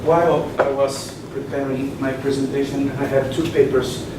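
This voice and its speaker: Brazilian man presenting a paper, flat halting delivery, distant noisy echoey hall. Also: medium pitch. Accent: Brazilian Portuguese. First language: Portuguese. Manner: halting, many pauses and fillers. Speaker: conference presenter. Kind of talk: academic conference talk. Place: conference session room. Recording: echoey room from far off, noisy.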